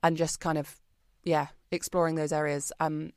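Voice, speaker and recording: A British woman being interviewed on a podcast, talking in a chatty, expressive way with plenty of ums and likes. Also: deep voice, normal speed, no echo, studio quality. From Britain. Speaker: podcast guest. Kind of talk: casual interview chat.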